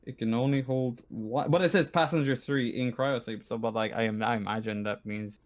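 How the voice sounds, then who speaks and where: A man's voice: mid-range voice, steady tone, fairly quick. A man running a tabletop game casually as game master, hesitant and rambling, with an apologetic manner.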